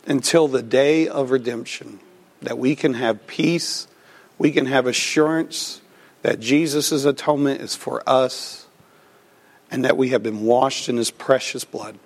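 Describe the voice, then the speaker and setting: Slow-speaking pastor, a bit froggy from a cold. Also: male voice, mid-range voice. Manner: slow, deliberate preaching. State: recovering from a cold, slightly froggy. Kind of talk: sermon with prayer and personal story.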